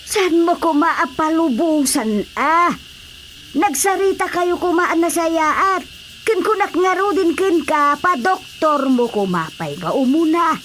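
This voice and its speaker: High-voiced, scolding older mother. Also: expressive, slow, a bit bright, slight background noise, full character voice. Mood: indignant, scolding. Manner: exclaiming, reproachful. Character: scolding older mother, indignant at her son. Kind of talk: radio drama acting.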